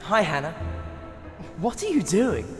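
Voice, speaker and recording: An earnest teenage wizard boy with an English accent. His pitch moves around a lot, as in video game dialogue, and music plays clearly behind him. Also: very animated, unhurried. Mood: purposeful.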